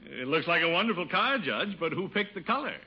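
Blustery middle-aged uncle, theatrical, highish animated voice, old radio sitcom, muffled recording. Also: male voice, brisk, breathy edge, exaggerated voice. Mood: defensive bluster. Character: blustery, middle-aged bachelor uncle, pompous and easily smitten. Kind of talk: 1950s radio situation comedy acting.

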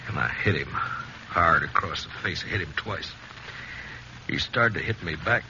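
An actor playing a middle-aged frontier sheriff and guilt-ridden father in a 1950s radio western, gruff and plain-spoken. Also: low-pitched, lively intonation, a little slow, pushed and bright, full character voice. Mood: regretful. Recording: muffled, noisy 1950s radio recording.

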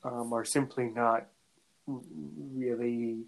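A man from North America hosting a podcast interview, speaking in a halting way and often repeating words before finishing a thought. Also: mid-range voice, little pitch movement, rough recording. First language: English; French is his second language. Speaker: podcast host. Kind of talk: conversational interview.

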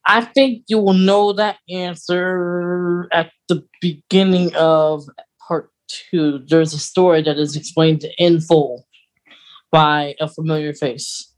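A podcast co-host chats casually and explains as they go. The voice sits at a middle pitch. The delivery is very slow and unhurried. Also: flat delivery, poor audio.

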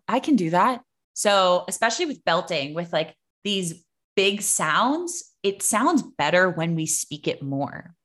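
Brief: young adult woman, singing coach guest, lowish voice, lively and demonstrative. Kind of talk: casual podcast chat.

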